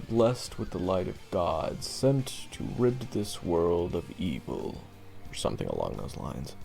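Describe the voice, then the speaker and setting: A man playing a jaded, sardonic monster hunter in his early twenties, telling his story in a casual, wry, halting way for an audio roleplay. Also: lowish voice, gravelly, mellow, exaggerated voice. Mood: mock solemn. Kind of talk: audio roleplay, conversational first-person storytelling.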